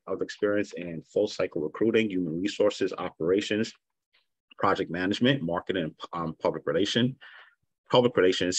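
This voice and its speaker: A man hosting an online meetup, introducing the guest speaker in a halting way and restarting his phrases. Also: sits low, slightly rough, dry recording, poor audio. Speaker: meetup host. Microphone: video call webcam.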